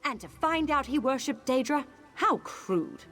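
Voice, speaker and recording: A woman's voice: high-pitched, animated, a little slow, fairly bright tone. An actress playing a haughty, intolerant elf woman in a fantasy video game, speaking formally and theatrically. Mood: indignant, defensive. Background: background music.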